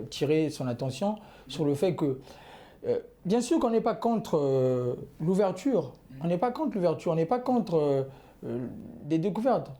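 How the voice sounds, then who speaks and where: A man's voice: fairly high pitch, warm tone. A young man making his argument as a panel guest, hesitant and full of fillers and restarts. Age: young adult. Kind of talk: panel discussion.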